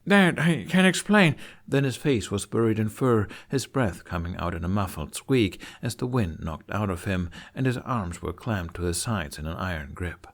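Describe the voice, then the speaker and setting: A man reading scripted fantasy fiction aloud as an expressive storyteller. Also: sits low, normal speed, few pauses. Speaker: podfic narrator.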